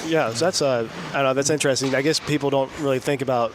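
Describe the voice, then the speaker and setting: A man's voice: medium pitch, quick pace, noisy background. A man co-hosting a talk show in a chatty, interested way. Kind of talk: casual interview chat.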